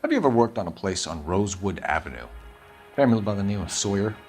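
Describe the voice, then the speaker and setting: A man's voice: on the low side, lively intonation, quick pace, a little mellow, faint music behind. A man playing a stern police detective in a video game, questioning suspects in a blunt, accusatory manner. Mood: probing. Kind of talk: dramatic video game interrogation acting.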